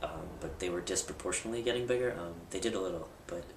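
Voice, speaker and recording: Young man, deep voice, casual candid vlog, far from the mic, noisy background. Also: racing. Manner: candid, rambling with fillers and restarts. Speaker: vlogger. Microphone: camera mic at a distance. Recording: roomy, distant, with loud background noise.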